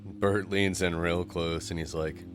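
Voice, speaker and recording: A man's voice: low voice, fairly even intonation, unhurried. A man taking part as a player in a tabletop role-playing game, talking casually and in character. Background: music.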